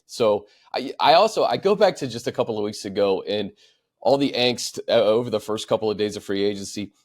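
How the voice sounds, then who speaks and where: A man with a fairly low voice discusses sports as a show host. His delivery is conversational and a little halting, doubling back on words while he forms his point. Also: expressive.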